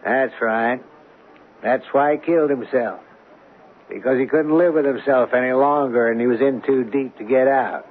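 An actor playing a grave, authoritative American security official in a 1970s radio drama. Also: male voice, mid-range voice, moderate pace, natural voice. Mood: grave, reproving. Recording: muffled, hissy old radio broadcast.

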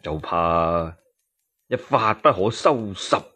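Man acting a low, scheming court minister. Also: lively intonation, sharp tone, exaggerated voice. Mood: grave, scheming. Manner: measured, low, conspiratorial. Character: grave, calculating chief minister advising a prince. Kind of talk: radio storytelling drama.

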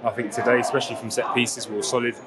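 Footballer with a flat, even voice. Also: male voice, mid-range voice, racing, over a noisy crowd. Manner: relaxed, fluent, filler-heavy answers. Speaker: footballer, vice captain. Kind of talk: post-match interview. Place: outdoors at a football ground. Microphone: lapel mic.

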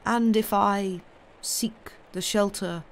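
Browbeaten, dazed man for an audiobook, acted by the narrator: high-pitched, halting, mumbled delivery. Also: fairly even intonation. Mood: timid, wary. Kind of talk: dramatic audiobook character voice.

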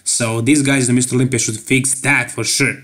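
A man's voice: mid-range voice, level tone, moderate pace, a bit bright. Joking Serbian man on bodybuilding commentary. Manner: chatty, joking, laughs often. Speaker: bodybuilding YouTuber. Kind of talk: casual voice-over commentary.

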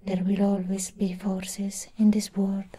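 A young woman playing a devoted, protective female android doll in a soft ASMR roleplay, often whispering, with measured, intimate, formal phrasing. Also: medium pitch, little pitch movement. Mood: measured, cautioning. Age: young adult.

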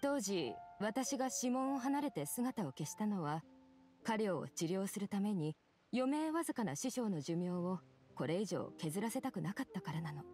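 A serious, perceptive woman physician from a video game , played by an actress. She speaks with concern and measured gravity, her intonation moving widely over soft background music. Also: mid-range voice, sing-song, close to an everyday voice. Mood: wistful, resigned. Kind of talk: dramatic Japanese game voice acting.

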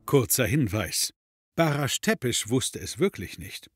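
A man narrating a comedy audio drama as a dry, wry storyteller with a deadpan delivery. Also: mid-range voice, unhurried, slightly rough, no room sound, faint music behind.

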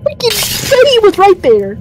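A woman chatting casually while playing a group horror game, excitable and jittery, full of exclamations. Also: very high-pitched, measured pace, noisy background. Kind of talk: casual gaming chat.

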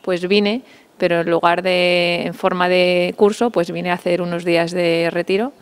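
30s woman, smooth clear voice, kinda hesitant, thinking as she talks. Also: on the low side, little pitch movement, moderate pace. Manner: thoughtful, hesitant, searching for words. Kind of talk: interview answers. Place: cloister garden outdoors. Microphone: handheld mic.

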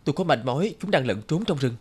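Young cartoon hero, earnest, brave, animated, slightly high voice. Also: male voice, quick pace, husky. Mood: earnest, reassuring. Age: young adult. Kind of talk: cartoon fairy-tale acting.

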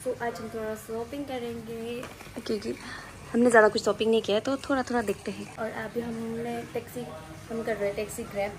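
A woman's voice: on the high side, background noise. A woman in her twenties chatting playfully as she films a travel vlog on a phone held at arm's length, first in a hotel room and then in a market. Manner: chatty, playful, exclaims over things. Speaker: travel vlogger. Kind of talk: casual travel vlog chat. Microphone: phone camera at arm's length.

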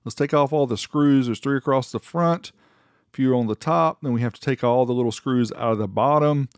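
A man talks through a product review in a folksy, conversational voice-over. His pitch sits in the middle of the male range, and the sound is dry, with very little room in it. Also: brisk, smooth, dry recording. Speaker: host of an amplifier review channel.

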